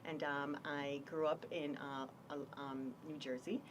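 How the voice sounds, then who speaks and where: A woman from Jamaica, a doctor explaining her work in a radio health interview, measured and full of pauses. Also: deep voice, level tone, far from the mic, background noise. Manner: measured, explanatory, frequent ums. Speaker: infectious diseases doctor, guest.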